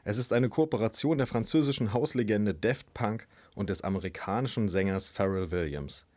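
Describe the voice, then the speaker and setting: A man's voice: lowish voice, moderate pace, a little mellow. A young man presenting on a school radio show, reading a track introduction in a plain, matter-of-fact way. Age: young adult.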